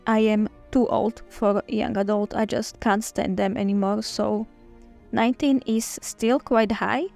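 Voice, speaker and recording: Give me a woman chatting slowly and eagerly, with a gravelly edge to her voice. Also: mid-range voice, fairly even intonation, a little mellow, soft background music. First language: Slovak. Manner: chatty, enthusiastic, with small restarts. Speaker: book vlogger. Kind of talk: casual reading-journal flip-through.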